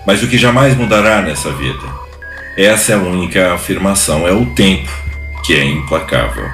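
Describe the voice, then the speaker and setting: Deep, echoey Brazilian man over loud music. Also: lively intonation, normal speed. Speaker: intro narrator. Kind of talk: solemn scripted prologue narration.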